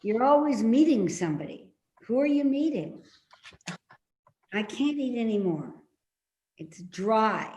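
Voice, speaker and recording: A woman's voice: a little high, lively intonation, a little slow, pauses often, darkish tone. An actress playing a fussy, suspicious older mother in a naturalistic play reading, with a pedantic, contrary way of talking. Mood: complaining, suspicious. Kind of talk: naturalistic readers theater acting.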